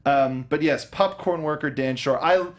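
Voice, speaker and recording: A young man co-hosting a casual movie review chat, animated and breaking into goofy voices when he acts out reactions. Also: a little high, normal speed. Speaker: podcast co-host.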